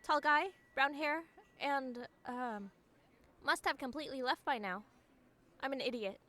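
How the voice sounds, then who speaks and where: A high-pitched, jittery young woman in a comic dub, acted by a woman, full of self-doubt yet stubbornly determined. Her voice rings in long reverb over clear background noise, and she sounds awkward as she questions herself. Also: measured pace, sharp tone. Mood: flustered.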